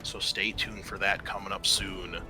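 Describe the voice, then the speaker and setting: A man's voice: deep voice, level tone, normal speed, sharp tone. A man speaking casually as game master and host, narrating a tabletop game with informal, hesitant phrasing. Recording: faint music under the voice.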